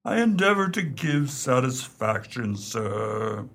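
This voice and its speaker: An actor playing an unflappable, discreet English valet in a radio play, courteous, measured and deferential. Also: male voice, medium pitch, very animated, creaky, fairly bright tone, heavily acted. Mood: composed, deferential.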